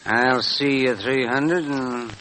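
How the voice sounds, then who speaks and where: A cool frontier card sharp, played by a man, politely needling in a vintage radio Western. The voice is mid-pitched, under clear noise from an old recording. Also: fairly even intonation, slow, close to an everyday voice. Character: smooth, cool professional gambler in a frontier saloon.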